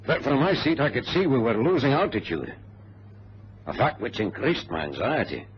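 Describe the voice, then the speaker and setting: An actor playing a brisk, precise ex-colonel who tells his adventure in a formal radio-drama style. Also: medium pitch, very animated. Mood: anxious. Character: brisk ex-colonel plane passenger, an orderly organizer. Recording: noisy muffled vintage radio drama recording.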